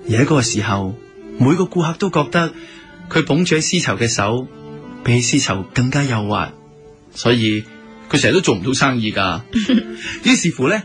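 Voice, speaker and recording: A man's voice: mid-range voice, close to an everyday voice. A man acting in a radio drama with a Hong Kong Cantonese accent, playing a courteous, eager and smitten silk-shop owner. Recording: music bed under the voice.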